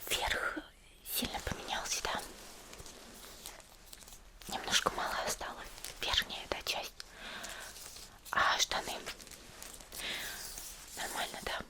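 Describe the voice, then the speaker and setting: A woman whispers through an ASMR roleplay. She addresses the listener directly and softly, with a caring, gentle manner. Her delivery is unhurried and affectionate.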